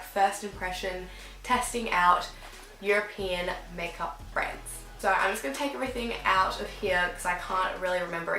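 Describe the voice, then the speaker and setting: Young woman beauty vlogger with a cold, bright, slightly nasal voice, chatty, roomy bedroom sound, faint music behind. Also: medium pitch. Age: young adult. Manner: chatty, filler-heavy, talks while applying makeup. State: sick, a bit croaky and nasal. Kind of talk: casual makeup tutorial chat. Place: dim bedroom with fairy lights, indoors.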